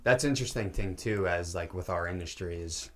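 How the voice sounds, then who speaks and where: A man co-hosting a podcast, asking rambling, relaxed questions full of you-knows. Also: low-pitched, a little fast. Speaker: podcast co-host. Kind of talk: casual podcast interview.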